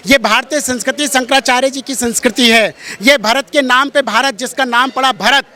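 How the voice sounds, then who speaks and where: A man with a strikingly high, sharp and forceful voice holds forth as a religious interviewee. His delivery is preachy and emphatic, and he hammers points home by repeating his phrases. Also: steady tone, normal speed. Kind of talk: impassioned street-interview polemic.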